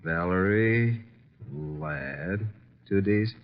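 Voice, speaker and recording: Deep-voiced glib radio detective's sidekick. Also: male voice, expressive, very slow, full character voice. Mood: flirty, wry. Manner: glib, breezy, flirty banter. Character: wisecracking flirtatious male private detective's assistant. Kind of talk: old-time radio mystery acting. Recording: muffled vintage radio recording.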